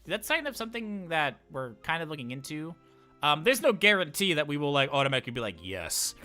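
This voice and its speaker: A man with a very bright, forceful voice hosts a casual livestream. He is informal and jokey, rambling along with lively swings in pitch, while music plays behind him. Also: fast talker. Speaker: livestream host.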